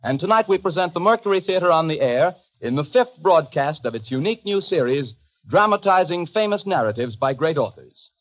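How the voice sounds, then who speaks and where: A man's voice: on the high side, lively intonation, a little fast. A man announcing a 1930s radio program in a formal, declamatory style. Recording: muffled old 1930s radio broadcast.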